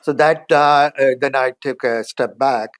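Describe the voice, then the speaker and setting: Indian-accented man, measured professor. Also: medium pitch, fairly even intonation. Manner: measured, explanatory, frequent "uh" pauses. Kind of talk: interview answers.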